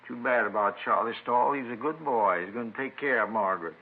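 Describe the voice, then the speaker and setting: A man playing a folksy, stubborn old father in a 1950s radio crime drama, gravelly and rambling. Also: mid-range voice, a little fast. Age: older. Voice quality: gravelly, husky. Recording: muffled vintage radio recording.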